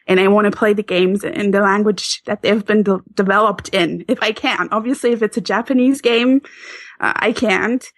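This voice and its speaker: A woman's voice: mid-range voice, fairly even intonation, moderate pace, dry recording. A Swiss woman with a Swiss German accent, chatting casually as a podcast guest, laughing often and restarting sentences. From Switzerland.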